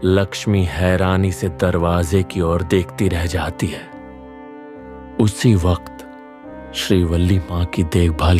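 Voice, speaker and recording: Casting: male narrator for scripted tales, very low voice, unhurried delivery. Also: a little mellow.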